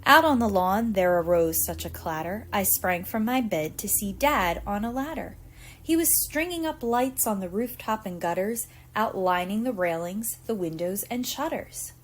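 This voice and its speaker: Animated woman reading a children's story. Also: medium pitch, a little hiss or hum. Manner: deliberate, theatrical storytelling with acted emphasis. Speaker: preschool teacher reading aloud. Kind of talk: expressive children's picture book read-aloud.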